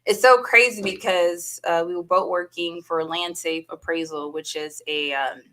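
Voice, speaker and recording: A woman with a bright, fairly low voice tells a story at an easy pace. She laughs often and keeps it loose and conversational. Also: steady tone, moderate pace. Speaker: guest. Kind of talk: casual chat.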